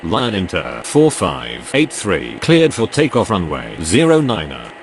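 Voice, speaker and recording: A man's voice: huge pitch swings, a little slow, vocal fry, heavily acted. A man playing a formal, terse air traffic radio operator in a game, speaking in clipped scripted phraseology. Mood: formal, businesslike. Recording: very noisy game audio, vehicle rumble.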